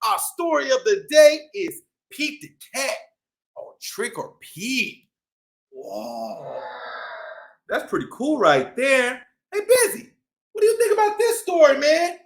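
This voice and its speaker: Animated high-pitched man reading to kids. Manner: excitable, exclamatory, drawn-out dramatic phrasing. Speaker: children's storytime host and reader. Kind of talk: animated picture-book read-aloud for kids.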